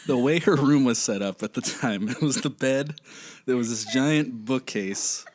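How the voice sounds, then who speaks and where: A young man chatting as a podcast guest, telling a story with jokes and dropping to a hushed voice for suspense. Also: medium pitch, unhurried, no echo. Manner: joking, drops to hushed voice for suspense. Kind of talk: casual storytelling chat.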